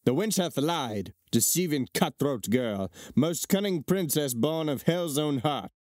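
A man as a high-pitched, vindictive commander. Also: lively intonation, measured pace, a little mellow, no room sound, clean studio sound. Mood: furious, contemptuous. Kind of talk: Shakespearean dramatic reading.

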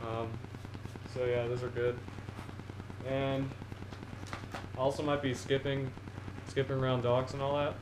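A teenage boy showing off fishing gear in a casual haul video, rambling with many ums and restarts, seated on a couch in front of a camera on the floor. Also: medium pitch. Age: teens. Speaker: fishing vlogger. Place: small indoor room, seated on a couch. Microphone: camera on the floor in front of him. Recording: echoey room with a steady noise floor.

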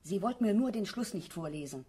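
A needling young sister from a merchant household in a radio play. Her husky, medium-pitched voice is made for teasing and correcting. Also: expressive, fairly quick, warm tone. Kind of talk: German radio play acting.

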